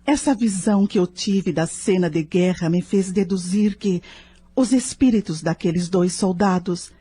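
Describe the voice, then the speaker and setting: A woman's voice: lowish voice, measured pace, dark tone, slightly rough. A woman playing a troubled, intense mother in an audio drama, with a Brazilian accent. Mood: earnest, grave. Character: anguished, brooding mother of adult twin sons.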